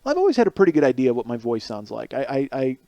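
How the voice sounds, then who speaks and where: Host, male, 40s, noticeable lisp, self-deprecating rambler, mellow tone, dry close sound. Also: medium pitch, racing, dry recording. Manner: rambling, self-mocking, animated storytelling. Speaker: podcast host. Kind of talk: solo comedic monologue.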